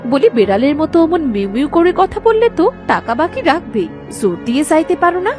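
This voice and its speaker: A woman playing a sharp-tongued poor young village wife in a cartoon, scolding and complaining. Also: sits high, sing-song, a little fast, soft background music. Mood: exasperated, scolding. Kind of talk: Bengali fairy-tale cartoon dubbing.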